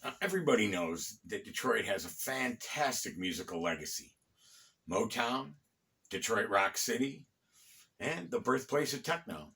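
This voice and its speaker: A middle-aged American man hosting a conversational, storytelling history talk, phrasing deliberately with pauses and dropping in wry asides. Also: fairly low pitch, sing-song, gravelly, sharp tone. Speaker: host and storyteller of a local history series. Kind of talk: conversational bedtime-story style history narration.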